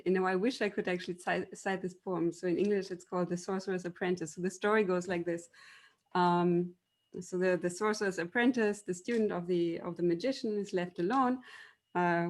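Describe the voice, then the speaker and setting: A woman from Germany with a German accent, a university machine learning lecturer giving debate panel remarks in an explanatory, storytelling way, with many ums and restarts. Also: lowish voice.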